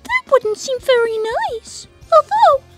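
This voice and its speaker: Sweet prim little cartoon bunny girl, really really high, singsong, super polite. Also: sing-song, slow, fairly bright tone, faint music behind, heavily acted. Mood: sweet, demure. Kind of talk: animated character Q&A, fan dub.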